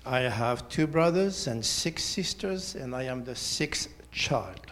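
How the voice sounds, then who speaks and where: A man, giving a prepared personal testimony in a measured, deliberate way. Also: mid-range voice, lively intonation, a bit bright, a little hiss or hum. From Switzerland. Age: 70s. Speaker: church member giving testimony.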